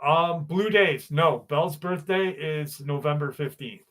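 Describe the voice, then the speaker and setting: A man's voice: fairly high pitch, measured pace, a bit bright. Casual, profane man hosting a live stream. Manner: casual and profane, greets viewers by name.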